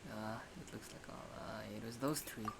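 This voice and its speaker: A man giving casual gameplay commentary in slangy, deadpan asides. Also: lowish voice, normal speed, gravelly, slight background noise. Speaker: game streamer. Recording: loud game music behind.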